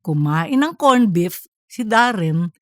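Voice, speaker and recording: A woman reading scripted comedic fiction as an audiobook narrator, in a lively storytelling way. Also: medium pitch, slow pace, no echo, clean studio sound.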